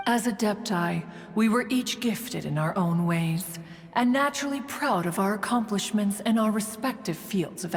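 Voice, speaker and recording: A woman's voice: medium pitch, lightly acted. A woman playing a proud, stately immortal adeptus in a video game, speaking in formal archaic phrasing and calling herself "one". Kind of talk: scripted game dialogue, storytelling. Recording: reverberant hall sound, music behind.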